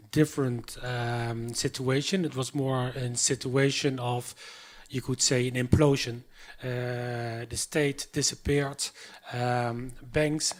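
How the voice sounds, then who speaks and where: A man with an ordinary mid-range voice speaks as an in a panel talk. He hesitates, fills gaps with "uh," and restarts his sentences as he thinks aloud. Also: medium pitch. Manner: hesitant, many fillers and restarts. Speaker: artist, panel guest. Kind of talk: public panel conversation.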